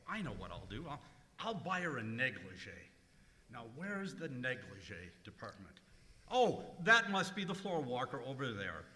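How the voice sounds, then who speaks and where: A man's voice: mid-range voice, pushed and bright, a little hiss or hum. A man playing a fussy, exasperated, penny-pinching comedian with hesitant, indignant delivery in old-time radio comedy style. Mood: fretful. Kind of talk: old-time radio comedy acting, live stage revue. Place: live performance in a large museum room. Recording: echoey museum hall, live stage.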